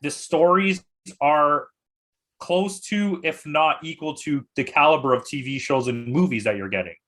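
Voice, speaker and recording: A man's voice: a little high, measured pace, fairly bright tone. A man hosting a casual podcast chat, giving blunt, opinionated and exaggerated takes on movies. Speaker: podcast host.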